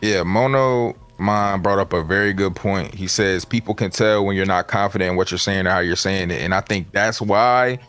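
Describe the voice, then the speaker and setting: A man with a naturally deep voice, a guest on a stream, talking casually and getting animated. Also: moderate pace, few pauses, faint music behind. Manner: animated, voice rises when excited. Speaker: guest YouTuber.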